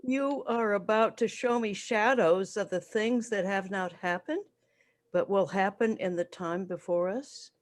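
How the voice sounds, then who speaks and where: A woman's voice: mid-range voice, a little slow, lightly acted. A woman playing a fearful, humbled old male miser in a dramatic story reading, solemn and earnest.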